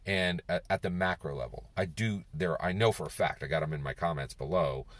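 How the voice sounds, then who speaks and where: A man's voice: deep voice, fairly quick, fairly bright tone, dry recording. A man in his fifties vlogging from a moving car on a lapel mic, musing aloud and tagging "right?" onto his points. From Philadelphia, USA. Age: 50s. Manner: rambling, circles back with "right?". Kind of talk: casual talking-head monologue. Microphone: clip-on lapel mic. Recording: car and road noise behind. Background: road and engine noise.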